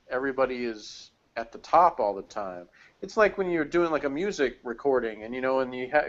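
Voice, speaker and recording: A man giving a casual, opinionated review as a co-host, working through his points in a halting, rambling way. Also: mid-range voice, dull audio. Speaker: co-host reviewing an audio drama.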